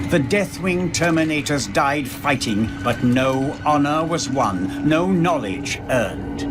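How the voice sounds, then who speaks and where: A man playing a brooding, calculating inquisitor who narrates a video game cutscene in a grave, measured, ominous way. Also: on the high side. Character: brooding male inquisitor, patient, calculating chronicler of war. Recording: loud soundtrack under voice.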